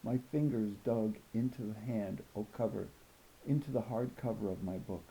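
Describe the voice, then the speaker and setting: A man's voice: lowish voice, normal speed, mellow, a little hiss or hum, natural voice, rough recording. A man voicing an observant, bookish, slightly nervous fifth-grade girl narrating her story in a precise, thoughtful way. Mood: thoughtful, uneasy. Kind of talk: first-person children's novel narration.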